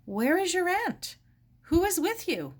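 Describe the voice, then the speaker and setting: Woman voicing a nervous, polite young woman, pitched up. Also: very animated, a little slow, full character voice. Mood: startled, anxious. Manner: polite, anxious, exclaiming.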